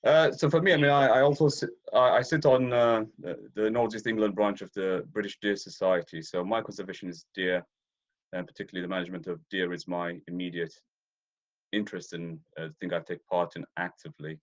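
Deep low guy from ethiopia chatting about his hobby, lots of uhs, kinda muffled recording. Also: fairly even intonation, fairly quick. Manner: rambling, many restarts and fillers. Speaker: interview guest, deer stalker. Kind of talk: casual interview chat. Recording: dull lo-fi audio.

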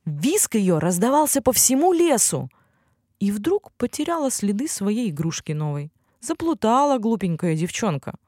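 A woman narrating a children's fairy tale as an expressive storyteller. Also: mid-range voice, normal speed, no echo, studio quality.

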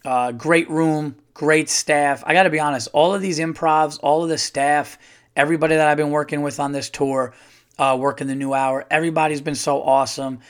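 Slightly hoarse man hosting a podcast. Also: a little high, steady tone, brisk. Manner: rambling, chatty, many fillers and restarts. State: slightly hoarse, losing his voice. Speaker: podcast host and comedian. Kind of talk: casual solo podcast monologue.

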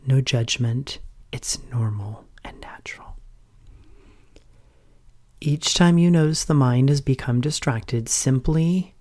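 Slow, gentle man guiding meditation. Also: mid-range voice. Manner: gentle, measured, calm instruction with frequent pauses. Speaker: meditation teacher. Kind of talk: guided meditation narration.

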